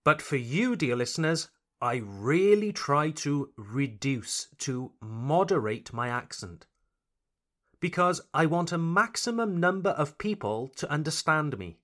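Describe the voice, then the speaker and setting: A man's voice: a little high, sing-song, measured pace, fairly bright tone. A man from Wales with a light Welsh accent, hosting a podcast for English learners and reading his script with careful, teacherly articulation.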